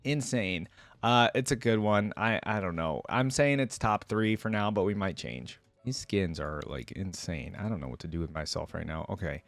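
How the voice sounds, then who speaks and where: A man's voice: deep voice, lively intonation, normal speed, no echo. A man hosting a casual reaction video, talking to viewers in an informal, slangy, exclamatory way.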